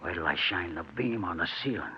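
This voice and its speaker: An American actor playing a tough, steady crook who leads his younger partner in 1940s radio drama style, terse and commanding. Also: sits low, a little fast, breathy edge, heavily acted. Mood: calm, determined. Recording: muffled, noisy old radio broadcast.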